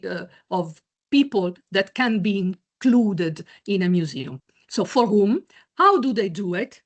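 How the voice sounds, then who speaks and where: A woman chairs an online meeting and answers questions over a video call. She speaks slowly and hesitantly, filling the gaps with many pauses and restarts. Her voice sits at an ordinary pitch. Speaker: chair of a museum committee.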